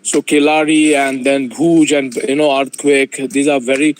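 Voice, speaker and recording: A man's voice: medium pitch, flat delivery, unhurried, smooth. A man asking a long question at a conference, hesitant and full of fillers, heard over a video call. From India. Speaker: audience member asking a question.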